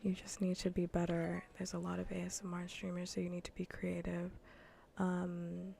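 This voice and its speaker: A woman talks to her livestream in a soft, breathy, half-whispered ASMR voice with a creaky edge. Her delivery is casual, rambling and bluntly sardonic, with frequent swearing. The pitch sits a little low, and there is faint noise behind her. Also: completely flat delivery, normal speed. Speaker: livestreamer hosting an ASMR gaming stream.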